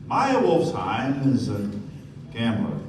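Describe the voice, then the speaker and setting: A man's voice: mid-range voice, wildly swooping pitch, slow pace, a little mellow. A polished, affable young tycoon in a radio drama. His intonation moves freely, and the sound is distant, with strong stage echo. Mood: suave, affable. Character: suave, affable young millionaire man of mystery. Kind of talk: live radio play acting. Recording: echoey stage, distant mic, noisy room.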